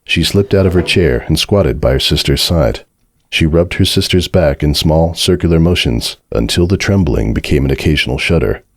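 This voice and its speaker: Very deep-voiced male story narrator. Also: expressive, normal speed, no echo, clean studio sound. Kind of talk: dramatic scripted story narration. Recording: music behind the voice.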